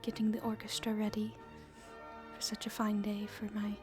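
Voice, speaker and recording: A woman playing a nervous, polite young princess in an audio roleplay, speaking in a genteel, breathy way. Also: mid-range voice, completely flat delivery, moderate pace, music in the background, lightly acted. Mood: gracious. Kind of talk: roleplay ASMR acting.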